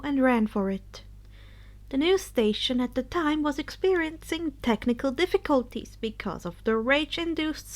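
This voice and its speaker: A woman's voice: sits high, a bit bright. A woman narrating fan fiction in a dramatic storytelling style.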